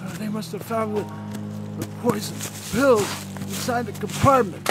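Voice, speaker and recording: An actor playing a smug, evasive, adulterous CIA agent in a radio play, stammering at times. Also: male voice, sits high, normal speed, lightly acted. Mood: panicked. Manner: flirtatious, hesitant and stammering. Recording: radio drama with music underneath.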